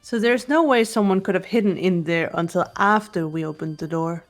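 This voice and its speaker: A woman voicing a cool, analytical teenage girl investigator in a mystery game, measured and matter-of-fact. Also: lowish voice, soft background music, lightly acted. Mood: explaining. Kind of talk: amateur fan voice acting of a game script.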